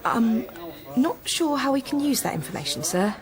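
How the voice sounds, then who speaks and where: A policewoman taking a report in a radio drama. Her fairly high voice is brisk and official, questioning sceptically while staying tactful. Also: very animated, crowd noise. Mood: tactful, puzzled.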